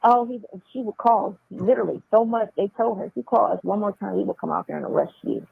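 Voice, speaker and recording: A woman's voice: medium pitch, quick pace, gravelly, husky, dry recording. A woman chatting casually and bluntly on a phone call, repeating phrases for emphasis. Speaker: phone caller. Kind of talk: casual chat. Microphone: telephone. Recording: tinny, band-limited jail phone line.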